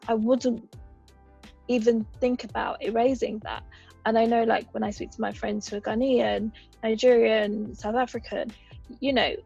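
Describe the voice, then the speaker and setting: Brief: woman, 30s, raised partly in Uganda, husky and fairly high voice, measured explainer, dull lo-fi podcast sound. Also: warm tone. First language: a Ugandan language. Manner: thoughtful, explanatory, lays out examples. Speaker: podcast guest. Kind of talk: conversational interview.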